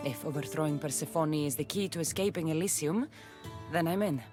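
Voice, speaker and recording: An actress voicing a bold, wry mercenary warrior in a video game, confident and teasing. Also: female voice, deep voice, brisk, music in the background, natural voice. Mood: determined.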